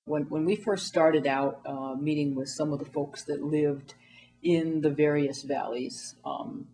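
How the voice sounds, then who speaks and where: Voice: mid-range voice, fairly even intonation, measured pace, warm tone, rough recording. A community organizer talking in an earnest way with fillers in an interview. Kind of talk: casual interview talk.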